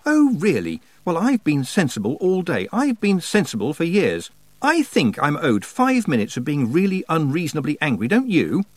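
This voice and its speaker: Man as an indignant farm girl, pitched high. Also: very animated, normal speed, no room sound. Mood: angry, exasperated. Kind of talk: audiobook character voice.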